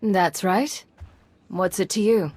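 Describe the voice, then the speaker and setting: A woman's voice: mid-range voice, fairly even intonation, slow, a little mellow, husky, no room sound. An actress playing a cool, businesslike female intelligence agent and commander in a video game cutscene. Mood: guarded.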